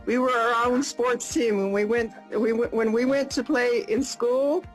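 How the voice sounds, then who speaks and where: Woman, 50s, American, chatty live-chat host, slightly high voice, faint music behind. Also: fairly even intonation, rough recording. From United States. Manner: upbeat, repeats questions slowly and plainly. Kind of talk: casual video chat.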